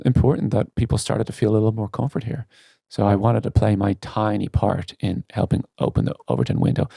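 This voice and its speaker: A man's voice: fairly low pitch, creaky, mellow, no echo. A man speaking as a CEO guest in a candid podcast interview, earnest and emphatic about his opinions.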